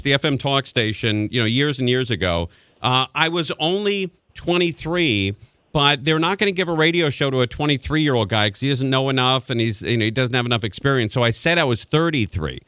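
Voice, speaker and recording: A man in his forties hosting a radio talk show, jokey and animated as he chats with a guest. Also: medium pitch, fairly quick, clear, resonant tone, dry recording. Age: 40s. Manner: jokey, animated, laughs along. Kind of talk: casual radio chat. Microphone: studio microphone.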